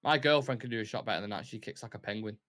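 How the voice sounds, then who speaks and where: Blunt Mancunian man, bright voice. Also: on the low side, racing. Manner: blunt, sweary, opinionated. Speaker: football watch-along host. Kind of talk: casual live match commentary and chat.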